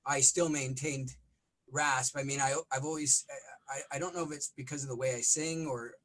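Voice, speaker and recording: A middle-aged man, a rock vocalist, talking in a casual interview with a smoky, raspy voice and lots of restarts. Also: mid-range voice, fairly even intonation, moderate pace, a bit bright. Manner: rambling, frequent restarts and fillers.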